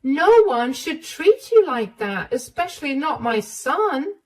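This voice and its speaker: A woman's voice: on the high side, animated, unhurried, pitched above the performer's own voice, rough recording. A woman putting on a protective motherly mother-in-law. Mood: outraged. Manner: warm, indignant and reassuring. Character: caring protective middle-aged mother-in-law.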